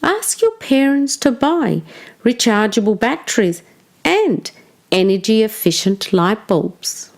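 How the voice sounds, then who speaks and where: A woman reading a children's storybook aloud like a teacher, with expressive, deliberate phrasing for young listeners. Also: fairly high pitch.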